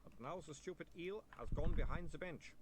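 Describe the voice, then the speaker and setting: A man voicing a nervous young male vampire who is polite, anxious and pleading, with loud keyboard typing behind. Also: a little high, moderate pace, very noisy, pitched above the performer's own voice, close to an everyday voice. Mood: sheepish. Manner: anxious, pleading, overly formal.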